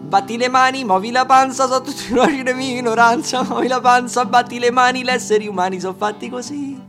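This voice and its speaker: A man's voice: extremely high pitch, fairly even intonation, fast. A man voicing a wife grumbling about her husband, half sung over a guitar. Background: guitar accompaniment.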